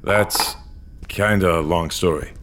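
A 150-year-old augmented great-grandfather in an audio drama, very low in pitch. He is weary, self-absorbed and halting in his delivery, with clear ambient noise behind him. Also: fairly bright tone. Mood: awkward, explaining. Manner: hesitant, halting, low-key. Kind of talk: audio fiction acting with first-person narration.

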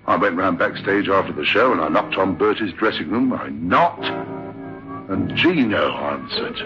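A man's voice: low-pitched, animated, moderate pace, lo-fi sound, over music. A man playing a bewildered, agitated theatre manager in a 1960s radio drama, emphatic and repeating himself. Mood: troubled. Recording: band-limited old radio recording.

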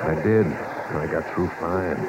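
An actor playing a blunt, protective show-business friend who scolds, in 1950s radio drama style. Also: male voice, sits low, slow pace. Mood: gentle urging. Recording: muffled, noisy 1950s radio broadcast.

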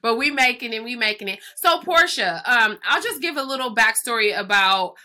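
A woman's voice: a little high, lively intonation, moderate pace, sharp tone. A woman co-hosting a podcast in a chatty, casual way, her nose stuffy from a cold. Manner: chatty, many fillers and restarts. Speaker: podcast co-host.

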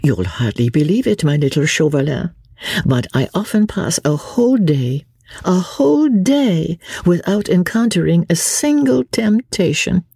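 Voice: medium pitch, animated, unhurried, vocal fry, mellow, breathy edge, no room sound, studio quality, voice pushed up, full character voice. A narrator voicing a witty, playful young French aristocratic woman, bored and teasing, in an audiobook. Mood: wistful, flippant. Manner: playful, sighing, teasing, with light laughs.

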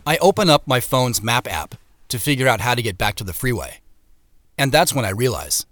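An actor playing the uneasy first-person narrator of a mystery audio drama, telling it in measured, suspenseful phrases. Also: male voice, medium pitch, sing-song, fairly bright tone, no echo.

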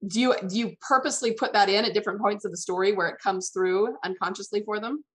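A woman's voice: medium pitch, quick pace, low-quality recording. A woman hosting a conversational interview, responding in an encouraging, affirming way and asking follow-up questions. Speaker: podcast host, interviewer.

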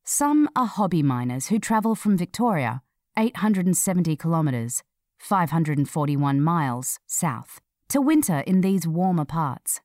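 A woman narrating a scripted feature article in a measured, clearly read delivery. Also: low-pitched, sing-song, a little mellow, dry recording, clean studio sound.

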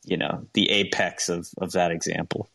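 Male co-host, somewhat deep voice, casual sports fan banter on a podcast. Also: level tone, moderate pace, creaky, pushed and bright. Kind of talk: casual sports chat with brief interjections.

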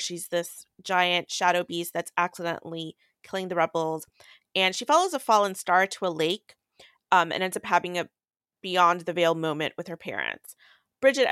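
A woman's voice: mid-range voice, fairly bright tone, clean tone, no room sound. Casual young woman podcaster, very dry recording. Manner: crude, irreverent humor with frequent swearing. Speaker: podcast host. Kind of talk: casual book recap chat.

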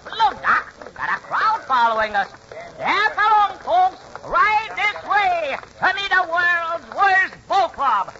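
An actor playing a brash, insulting, wisecracking midget in a 1940s radio comedy. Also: male voice, very high-pitched, very animated, slow pace, heavily acted. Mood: mocking. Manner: brash, taunting wisecracks in slangy speech. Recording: dull, noisy 1940s radio recording.

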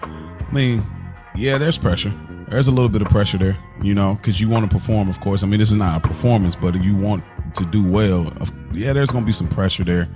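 Lower-voiced man chatting reflectively. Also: a little fast, over music. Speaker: podcast co-host. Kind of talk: casual conversational podcast talk.

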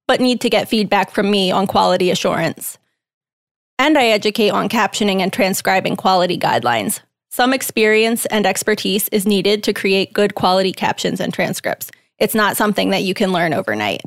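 A woman reading a guest's typed interview answers aloud in a measured, plain way. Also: medium pitch, animated, dry recording, clean studio sound.

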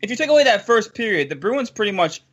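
A man's voice: sits high, sing-song, quick pace, fairly bright tone, lo-fi sound. A young man hosting a casual sports chat, frustrated and incredulous, repeating himself as he complains. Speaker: podcast host.

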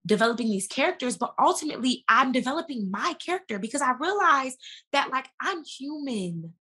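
A woman with a fairly high, bright and forceful voice talks casually as an interview guest. She explains herself in an animated, emphatic way, like someone telling personal stories in conversation. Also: brisk, breathy edge.

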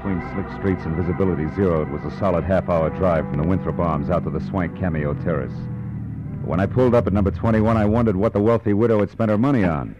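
Actor as a low-voiced hard-boiled American private eye. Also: male voice, quick pace, close to an everyday voice. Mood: grim, wry narration. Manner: clipped, tough, slangy. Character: hard-boiled, brisk male private detective. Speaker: lead actor and narrator. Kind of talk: 1940s radio noir narration and acting. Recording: muffled old radio, music behind.

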